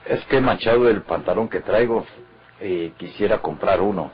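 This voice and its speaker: A man playing a confident, decisive criminal schemer with a husky voice in an old Mexican radio drama. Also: on the low side, normal speed. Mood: cool, assured. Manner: assured, decisive. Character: confident male schemer covering up a crime. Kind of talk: golden-age Mexican radio drama acting. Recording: dull vintage radio recording.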